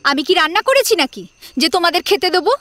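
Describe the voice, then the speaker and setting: Woman acting a high-pitched, defensive young bride. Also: animated, fast, bright, forceful tone, no echo, soft background music, exaggerated voice. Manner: defensive, unsure. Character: lazy, clueless young newlywed wife, defensive.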